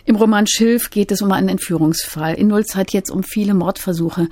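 Mellow woman hosting cultural radio. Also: medium pitch, brisk, dry recording, studio quality. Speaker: radio host. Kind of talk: cultural radio interview presenting. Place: radio studio.

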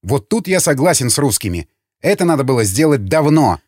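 A man's voice: medium pitch, very animated, fairly quick, a little mellow, dry recording, clean studio sound, pitched above the performer's own voice. A man voicing a blunt, decisive councilman in an audiobook, speaking in an emphatic, resolute way. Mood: excited, insistent. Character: blunt, decisive male councilman eager to act. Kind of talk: audiobook character acting.

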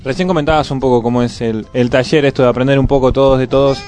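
Male radio interviewer, middle register, informal and slightly halting, soft music in the background. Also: medium pitch, fairly even intonation. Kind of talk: casual interview questions. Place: radio studio.

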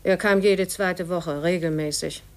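A low-pitched woman's voice, playing an embittered mother of many children. She speaks bluntly and resentfully, matter-of-fact and unyielding, in the manner of a 1950s German radio play. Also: fairly even intonation, unhurried. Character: embittered, blunt mother of seven, poor widowed-sounding cousin.

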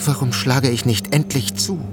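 An actor playing a tense, fanatical assassin in a radio drama, speaking an intense, brooding inner monologue. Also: male voice, mid-range voice, steady tone, normal speed, lightly acted. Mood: urgent. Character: tense, fanatical male assassin, thinking to himself. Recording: loud music behind.

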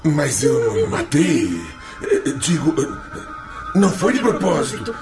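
An actor in a radio drama playing the desperate, raging and pleading spirit of a suicide, anguished and wailing. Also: male voice, a little high, very animated, slow pace. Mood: anguished despair. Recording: loud music under the voice.

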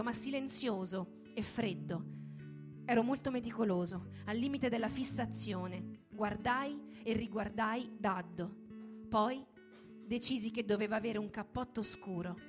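A woman reads literary prose aloud in a dramatic, solemn way. Her delivery is measured, like staged radio storytelling, with instrumental music clearly playing behind her. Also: on the high side. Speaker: reader in a radio reading performance.

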